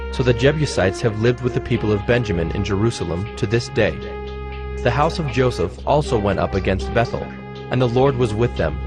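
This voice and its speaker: A man narrating scripture from a script in a measured, formal manner. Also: medium pitch. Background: music.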